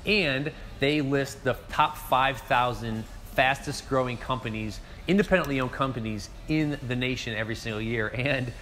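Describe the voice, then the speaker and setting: Upbeat restaurant owner talking to camera. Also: male voice, medium pitch, moderate pace, few pauses, fairly bright tone. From northeast Ohio. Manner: upbeat, gestures with hands while talking. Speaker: restaurant owner giving a year recap. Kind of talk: casual direct-to-camera talk. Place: empty restaurant bar indoors. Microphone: seated facing a mid-distance camera.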